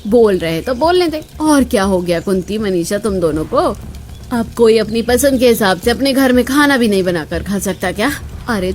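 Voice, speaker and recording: A woman voicing sharp-tongued, bossy female family members in a Hindi cartoon story. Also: mid-range voice, slight background noise, natural voice.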